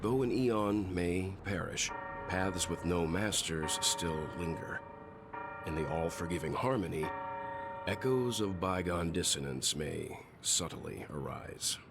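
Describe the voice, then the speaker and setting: A man playing a grave, astute, self-possessed mature character in a video game, with a deliberate delivery. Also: deep voice, animated, noisy background, full character voice. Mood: grave, reflective. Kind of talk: dramatic video game voice acting. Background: background music.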